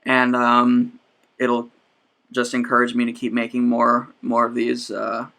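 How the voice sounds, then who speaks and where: A man's voice: medium pitch, monotone, a little slow, vocal fry, pushed and bright. A man in his twenties hosting a casual podcast chat, hesitant with many fillers. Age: 20s. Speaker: podcast host.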